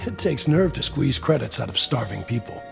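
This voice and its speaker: Game crime boss: heavyset, gruff, gravelly, wry and worried, clear music underneath. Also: male voice, medium pitch, sing-song, brisk. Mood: uneasy, wry. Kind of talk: video game character dialogue.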